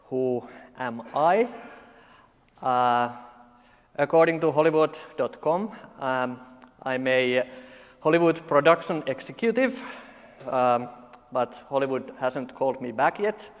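A man from Finland in his forties with a Finnish accent, giving a conference talk full of dry, deadpan jokes and halting fillers. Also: mid-range voice. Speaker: conference speaker. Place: large conference hall. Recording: long hall reverb.

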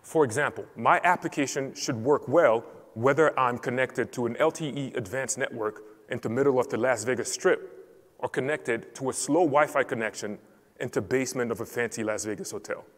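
Man presenting in a large echoing hall. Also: medium pitch. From Cameroon. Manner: measured, explanatory delivery. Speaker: enterprise support lead presenting. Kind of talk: technical conference presentation. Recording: reverberant hall.

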